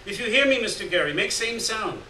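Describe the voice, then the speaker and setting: An earnest, caring woman acted by a man reading a story aloud speaks in short, broken English phrases. The pitch is lifted well up. The sound is roomy and noisy, recorded at some distance. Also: lively intonation, fairly quick, pushed and bright. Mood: urgent, reassuring. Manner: broken grammar, short urgent reassuring phrases.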